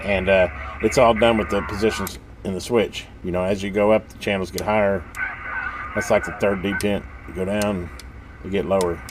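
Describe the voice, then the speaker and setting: A man's voice: deep voice, fairly even intonation, moderate pace. A man giving a casual, unscripted hands-on demonstration as a video host, rambling in a folksy, enthusiastic way. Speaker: hobbyist video host showing a vintage radio. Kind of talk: casual unscripted show-and-tell. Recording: radio static and background noise. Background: CB radio hiss and chatter.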